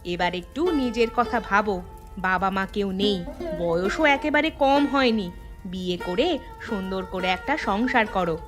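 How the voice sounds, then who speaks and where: A woman's voice: mid-range voice, expressive, unhurried, natural voice. A woman voicing a caring but bossy married village sister-in-law in a cartoon fairy tale, familiar and insistent. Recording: music clearly under the voice.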